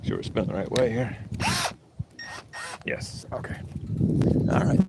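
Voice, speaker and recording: A man's voice: mid-range voice, fairly even intonation, unhurried, vocal fry. A man hosting a homestead livestream, sniffly from allergies, talking to himself and swearing casually while he works outdoors, picked up by a camera set up nearby. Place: on his homestead, working outdoors. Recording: noisy work-site recording.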